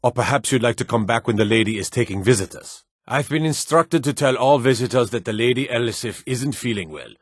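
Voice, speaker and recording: An actor playing a stern, dutiful fantasy guard and soldier in a video game, formal and commanding, with measured delivery. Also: male voice, mid-range voice, dry recording, studio quality, lightly acted. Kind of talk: scripted fantasy game dialogue.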